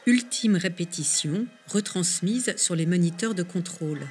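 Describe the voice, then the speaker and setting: Female documentary narrator, somewhat low register, reading a prepared script as presenter. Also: unhurried, darkish tone, soft background music.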